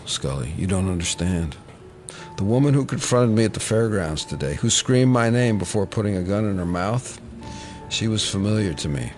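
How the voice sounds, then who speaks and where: Actor as low-voiced, haunted male federal agent. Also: a little mellow, lightly acted. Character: troubled male FBI agent, haunted and guilty, wryly defensive. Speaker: FBI special agent. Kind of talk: audio drama acting.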